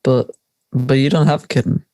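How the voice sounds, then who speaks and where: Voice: mid-range voice, fairly even intonation, moderate pace, mellow, dry recording, natural voice. A teenage performer playing a carefree, teasing school friend in conversational audio drama dialogue. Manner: laid-back, teasing.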